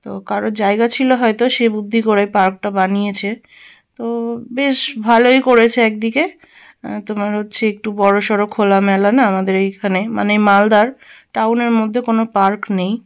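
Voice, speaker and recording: A woman's voice: medium pitch, fairly even intonation, a little fast. A woman, narrating a casual family vlog and talking directly to her viewers in a conversational way. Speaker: family lifestyle vlogger.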